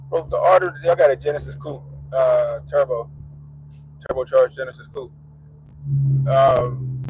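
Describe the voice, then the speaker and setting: A man's voice: mid-range voice, a little mellow, a little hiss or hum, poor audio. A congested man hosting his vlog, talking in a loose, profane, laughing rant from inside his car. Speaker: vlogger host. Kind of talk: casual profane commentary.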